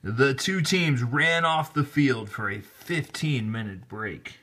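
A man reading a children's story aloud in an expressive, deliberate storytelling manner, with casual asides. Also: medium pitch. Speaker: storybook reader, teacher. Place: indoors, filming the book held in hand.

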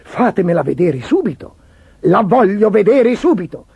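A man voicing a vain, imperious, excitable emperor in a children's storybook, with a pompous, demanding manner. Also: high voice, normal speed, creaky, breathy edge, no echo, highs cut off, voice pushed up, full character voice. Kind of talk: theatrical children's storybook acting.

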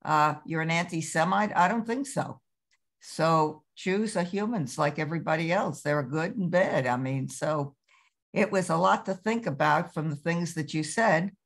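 Older woman, lowish voice, rambling, casual video-call chat. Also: moderate pace. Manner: rambling, chatty. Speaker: discussion participant.

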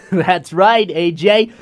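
A man's voice: sits high, drawn-out words. A man playing an upbeat, hip FM radio DJ in a radio drama, with breezy, slangy, showy patter. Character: upbeat, hip male late-night FM radio DJ. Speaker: radio DJ co-host.

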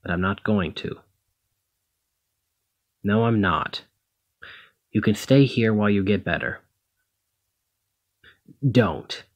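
A man playing a protective, conflicted demigod hero in a one-sided ASMR roleplay, speaking emotively and haltingly to the listener. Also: on the low side. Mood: resigned, hurt. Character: brawny male demigod hero, protective, conflicted, exasperated lover.

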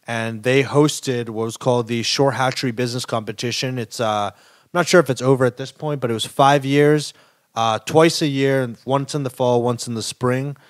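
A young man telling a story on a podcast, casual and chatty, with lots of filler words. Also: medium pitch. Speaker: kombucha company co-founder.

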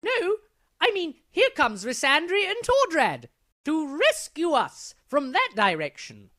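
Naive cartoon maestro, high-pitched, flustered, stammering, easily baffled, slow-paced. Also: male voice, wildly swooping pitch, pushed and bright, exaggerated voice. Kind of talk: animated comedy dialogue.

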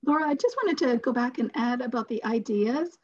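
A woman's voice: on the high side, lively intonation, fairly quick, no room sound. A woman in her forties giving informal advice as a participant in an online workshop. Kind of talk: informal advice on a video call. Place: plain room, indoors. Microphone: video call mic. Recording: dull, dry video-call audio.

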